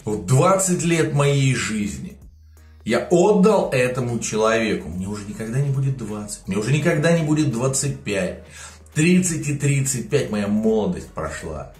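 A man's voice: medium pitch, normal speed. A man in his forties speaks straight to the camera from a room at home, worked up and indignant as he argues his point. His voice sits in a middle register and carries a noticeable room echo, with faint music underneath. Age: 40s. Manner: indignant, animated, gestures a lot. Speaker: political video blogger. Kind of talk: emotional political commentary. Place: home studio room with plants.

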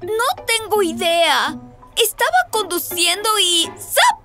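A woman's voice: very high voice, expressive, slow pace, bright, forceful tone, heavily acted. A woman voicing a tiny shrunken female bus driver in a children's cartoon dub, with music underneath. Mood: bewildered, pleading.

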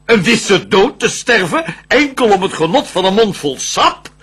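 A man playing a scheming, vengeful fallen lord of hell in a classical verse radio play, declaiming in measured theatrical style. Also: high-pitched, sing-song, a bit bright, breathy edge, exaggerated voice. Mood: doubtful, bitter.

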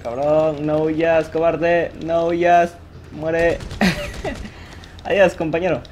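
A man talks over his online game in an excitable, self-mocking way. He laughs often and jokes about his own mistakes. The sound of the game plays clearly behind his voice. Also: a little high, very slow. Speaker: gaming youtuber. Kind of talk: live gameplay commentary. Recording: game audio noise behind the voice.

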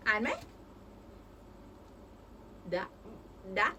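A woman's voice: extremely slow, dull audio. A young mother reading a picture book aloud with her child, asking encouraging sing-song questions. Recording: voice picked up from a distance with faint noise.

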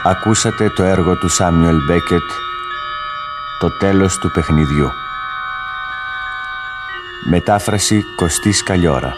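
A male radio announcer with a deep voice reads out scripted credits. His delivery is formal and measured, and music plays clearly behind him.

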